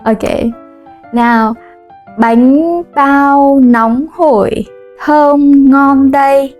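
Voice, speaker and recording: A woman with a Vietnamese accent teaches in a high voice at an unhurried pace. She models each phrase clearly for the listener to repeat. Faint music plays under her voice. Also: dull audio. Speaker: language teacher. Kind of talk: language lesson.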